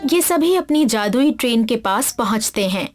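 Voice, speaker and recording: A woman works as a storyteller, reading a scripted children's tale. Her voice is fairly high, and music plays clearly behind her. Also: lively intonation, normal speed. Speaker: story narrator.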